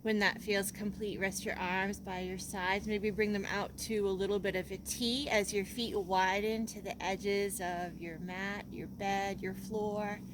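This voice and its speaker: Woman, yoga teacher, very slow delivery, gentle and soothing, guided relaxation, pauses between phrases, faint background noise. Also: mid-range voice, level tone.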